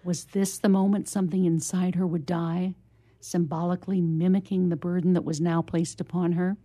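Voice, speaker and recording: A woman guest reading a short story aloud in a measured narrative style. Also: fairly low pitch, flat delivery, mellow. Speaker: guest reader.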